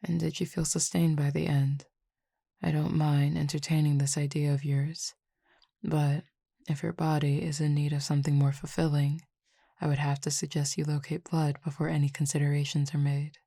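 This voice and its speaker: A woman voicing a composed, formal supernatural cupid roommate who speaks in precise, measured words and teases gently. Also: deep voice, level tone, creaky, no room sound, clean studio sound. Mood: calm. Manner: precise, formal wording, measured and unhurried, soft asides. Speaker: voice actor in an audio roleplay. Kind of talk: audio roleplay addressed to the listener.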